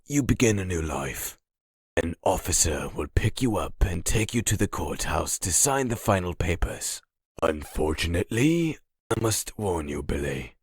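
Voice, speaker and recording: Comic-dub doctor, male actor: low husky voice, slow measured pace, polite but patronising. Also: no room sound, clean studio sound, full character voice. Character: formal, smooth-talking, satisfied male institute doctor.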